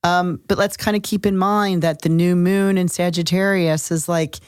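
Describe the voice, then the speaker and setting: A woman's voice: on the low side, fairly even intonation, moderate pace, smooth, dry recording, clean studio sound. A woman co-hosting an astrology podcast, chatting casually and emphatically in short bursts. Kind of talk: casual conversational astrology talk.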